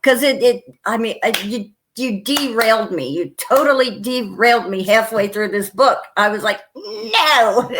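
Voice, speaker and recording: A woman hosting an interview show, gushing and excitable, laughing often, her voice lower and rougher than usual from a cold. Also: measured pace, background noise. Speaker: show host. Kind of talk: casual interview chat.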